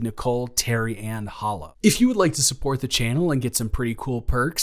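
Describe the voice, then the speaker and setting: A man, host of an audio channel, reading out a list of thanks and announcements to supporters. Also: mid-range voice. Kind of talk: reading supporter shout-outs.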